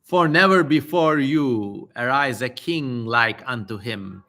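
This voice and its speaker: A man's voice: mid-range voice, animated, slow pace, sharp tone, heavily acted. A man voicing an ominous demon in a dramatic scripture reading, proclaiming prophecy in a menacing, grandiose manner. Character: ominous male demon prophesying doom to a king.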